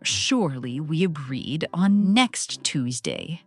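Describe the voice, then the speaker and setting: A woman's voice: low voice, lively intonation, slow pace, faint music behind, full character voice. A woman voicing a grumpy, fussy male bear with formal, indignant phrasing in a storybook reading. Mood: fussy, reproving. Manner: indignant, melodramatic, formal wording.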